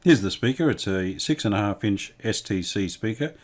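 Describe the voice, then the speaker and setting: A man with a low voice talks through hands-on restoration work as he goes. His delivery is understated and matter-of-fact, with the unscripted feel of a casual workbench vlog. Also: lively intonation, moderate pace. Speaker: restorer presenting a hands-on vintage radio repair.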